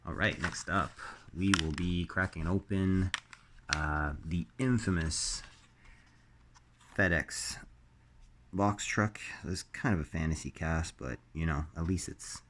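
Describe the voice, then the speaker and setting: A man with a slightly raspy voice while recovering from illness, hosting a casual unboxing, halting with many ums and uhs. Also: low voice. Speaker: diecast collector host.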